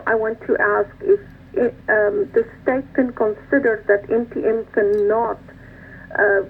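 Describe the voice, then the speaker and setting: A woman speaking up on a conference call by phone, insistent and halting as she makes her point. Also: mid-range voice, vocal fry. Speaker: transport provider on a conference call. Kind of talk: questions and comments on a conference call. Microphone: telephone conference line. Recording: tinny phone line with background noise.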